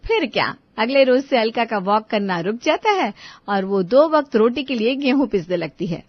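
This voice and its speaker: High-voiced woman storyteller. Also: sing-song, normal speed, no room sound. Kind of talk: scripted children's story narration. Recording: dull lo-fi audio.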